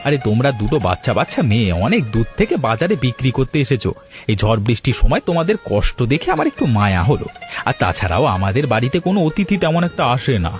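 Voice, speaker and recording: A man voicing an excitable, gullible poor villager in a cartoon, prone to stammering. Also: medium pitch, fast, faint music behind, lightly acted. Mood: animated storytelling. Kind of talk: cartoon story acting and narrating.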